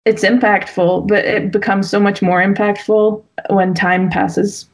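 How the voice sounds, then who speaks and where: A woman's voice: mid-range voice, flat delivery, measured pace, slight background noise, poor audio. A young woman guest talking casually about her work as a wedding photographer, with lots of fillers and restarts. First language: Dutch. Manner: talkative, full of fillers and restarts. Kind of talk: casual interview conversation.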